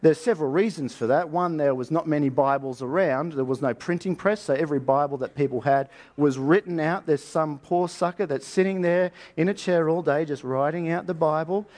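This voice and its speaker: Higher-pitched man preaching informally. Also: a little fast, few pauses, darkish tone. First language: English. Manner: informal, colloquial storytelling with rhetorical questions. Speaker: preacher teaching on Bible history. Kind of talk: sermon, conversational history lecture.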